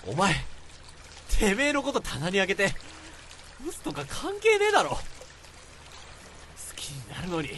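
A man's voice: high voice, wildly swooping pitch, moderate pace, long pauses, a bit bright, a little hiss or hum, full character voice. An actor playing an earnest, self-reproaching young man who confesses his regrets in an emotional radio drama monologue. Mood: shouting, anguished. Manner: emotional first-person confessing, swinging into outbursts.

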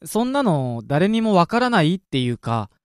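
Easygoing higher-voiced man in radio drama, acted. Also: very animated, normal speed, no room sound, studio quality. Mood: reassuring. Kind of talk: amateur radio drama dialogue.

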